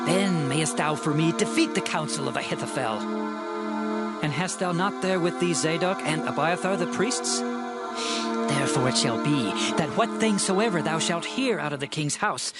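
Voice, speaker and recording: A man's voice: fairly high pitch, natural voice. A man playing a devout, sorrowful but resolute king, declaiming fervently in a dramatized scripture reading. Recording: loud music behind the voice. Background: music.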